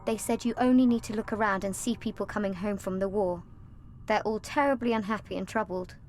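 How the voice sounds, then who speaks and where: A woman's voice: medium pitch, fairly quick, a little mellow, faint music behind, natural voice. An actress playing a young orphan girl in a video game, earnest, plaintive and stubbornly moralizing. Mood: earnest, curious.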